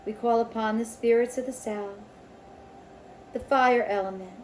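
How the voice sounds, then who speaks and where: A woman leading a spiritual circle in a slow, ceremonial manner, with guided meditation and invocations and pauses between phrases. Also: on the high side, steady tone, a little mellow, slight background noise, rough recording. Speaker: Reiki circle leader.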